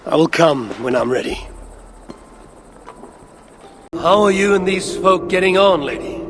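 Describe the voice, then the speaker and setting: An actor playing a commanding, calculating Norse god in a video game, stern and measured in manner. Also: male voice, sits high, animated, a crowd in the background. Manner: imperious, measured, portentous. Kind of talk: video game cutscene acting.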